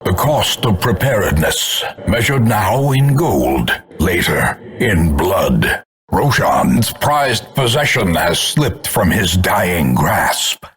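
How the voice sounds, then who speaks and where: Video game announcer: grim, scornful older man of doom, fairly deep, very measured and theatrical. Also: fairly bright tone, breathy edge, exaggerated voice. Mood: menacing, rousing. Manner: grandiose, ornate, measured dramatic delivery. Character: grim ominous older male narrator of doom, contemptuous. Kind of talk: theatrical scripted game announcements, gothic narration.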